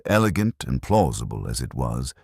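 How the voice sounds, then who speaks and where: A man reading a scripted literary detective story in the first person, with a measured, formal, reflective delivery. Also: a bass voice, sing-song, creaky, a little mellow, slightly rough, no echo, clean studio sound. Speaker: audiobook-style detective story narrator.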